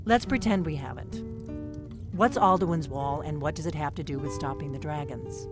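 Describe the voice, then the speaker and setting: An actress voicing a stern, businesslike veteran female agent in a video game, brisk and no-nonsense. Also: low voice, over music, close to an everyday voice. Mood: impatient.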